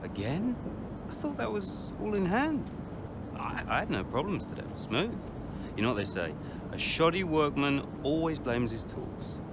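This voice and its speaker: An actor playing a smug, self-assured racing driver in a video game cutscene, mocking and breezy in manner. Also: male voice, on the high side, sing-song, a little slow, background noise. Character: cocky, smug male racing driver, needling his teammate.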